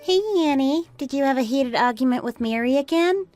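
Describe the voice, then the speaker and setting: A woman's voice: high voice, animated, a little fast, over loud music. A woman playing a chatty, weary in-law who complains and gossips in an audio drama. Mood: gossipy, weary. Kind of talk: conversational drama dialogue.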